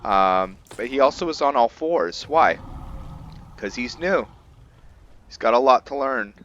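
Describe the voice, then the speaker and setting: A man giving casual let's play commentary on a fantasy role-playing game, halting as he thinks aloud. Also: medium pitch, lively intonation, fairly bright tone, dull audio, slight background noise. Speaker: gaming channel host narrating a playthrough.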